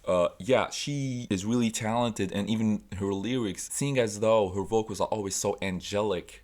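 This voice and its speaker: Young Tunisian guy, Arabic first language, deep voice, casual music vlog chat full of fillers. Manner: chatty, loose, self-interrupting with fillers. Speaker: music vlogger. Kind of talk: casual music recommendations to camera.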